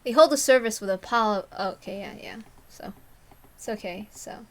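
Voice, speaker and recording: A woman's voice: medium pitch, moderate pace. A woman reading a story aloud expressively, breaking into casual, self-interrupting asides. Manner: self-interrupting, openly flustered over mistakes.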